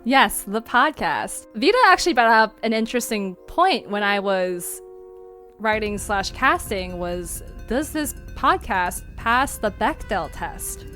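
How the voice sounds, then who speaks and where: A woman's voice: mid-range voice, lively intonation, a little slow, a bit bright, soft background music. A woman chatting casually as the writer and director of a fiction podcast. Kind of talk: casual group chat.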